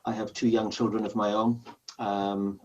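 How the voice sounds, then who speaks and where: A man's voice: on the low side, flat delivery, normal speed, darkish tone, recorded from a distance. Welsh man, forties, hesitant, dull lo-fi call audio. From Wales. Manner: hesitant, many ums, earnest. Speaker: panelist, charity founder. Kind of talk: webinar panel talk.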